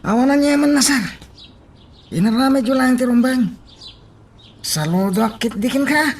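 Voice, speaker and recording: A man's voice: extremely high pitch, normal speed, a little hiss or hum. An actor playing a dutiful, respectful male subordinate in an Ilocano radio drama. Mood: respectful, firm.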